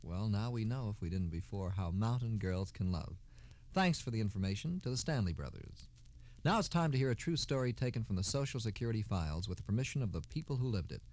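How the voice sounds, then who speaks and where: Lively low-voiced male radio host. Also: quick pace. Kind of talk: scripted radio program hosting, 1960s.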